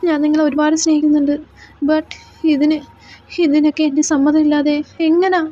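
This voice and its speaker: A woman voicing a frightened young man held captive, who begs and panics in a high voice. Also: level tone, normal speed, dark tone, close to an everyday voice. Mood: pleading. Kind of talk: Malayalam fan audio drama. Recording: slightly dull lo-fi recording with faint music.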